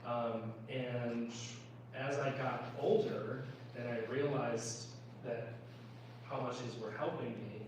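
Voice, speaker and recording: A man's voice: medium pitch, fairly even intonation, slow, a little mellow. An American man in his thirties speaking as a congressional candidate at a town hall, in an informal, plain-spoken storytelling way. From United States. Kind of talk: informal personal political speech. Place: indoor town hall meeting room. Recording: echoey room, distant mic, background noise.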